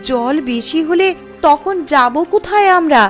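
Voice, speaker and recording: A woman as a high-voiced, fretful village woman. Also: lively intonation, a little slow, soft background music. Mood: anxious. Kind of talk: cartoon story acting.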